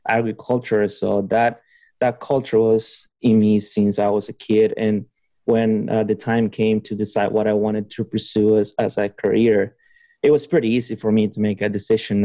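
A man's voice: fairly low pitch, little pitch movement, normal speed, warm tone, no echo. A young man from Honduras being interviewed on a podcast, chatting casually with lots of fillers and restarts. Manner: rambling, many fillers and restarts, laughs often. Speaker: podcast guest, PhD student.

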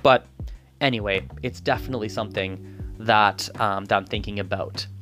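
A man's voice: fairly low pitch, steady tone, moderate pace, fairly bright tone, soft background music. A man whose first language is Gujarati, talking through his thoughts in a casual, rambling monologue full of restarts and asides. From Canada. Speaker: polyglot vlogger.

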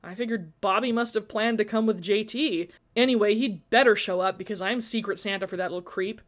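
A woman's voice: medium pitch, a little fast, close to an everyday voice. Woman acting a teenage girl, exasperated, matter-of-fact, audiobook character.

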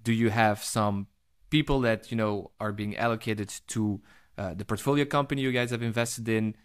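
A man interviewing a podcast guest, asking questions in a halting, casual way full of fillers. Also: lowish voice, clean tone. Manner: hesitant, halting phrasing with many fillers.

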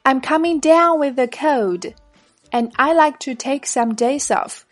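Woman reading speaker A in a lesson dialogue, plain, slightly high, soft music behind. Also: measured pace, no room sound. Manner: plain, careful read-aloud of lesson lines. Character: everyday adult speaker A in a practice dialogue. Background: faint background music.

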